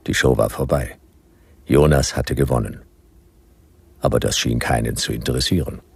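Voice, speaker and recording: An actor playing a hard-boiled detective who narrates his own story tersely in a radio play, with a gravelly voice. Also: a bass voice, steady tone, normal speed, no echo, heavily acted. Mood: terse, grim. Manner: terse, clipped sentences.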